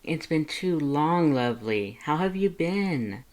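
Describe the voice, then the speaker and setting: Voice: mid-range voice, expressive, slow, a little mellow, pitched above the performer's own voice. A narrator voicing an affectionate male skeleton monster in a cooing, gushing manner. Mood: warm greeting.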